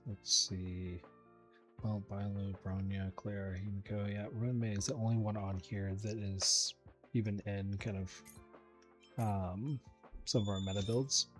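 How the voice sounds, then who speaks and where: Guy with a deep-ish voice chatting through his game, faint music behind. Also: little pitch movement, measured pace. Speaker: host of a game playthrough channel. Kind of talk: casual gameplay commentary.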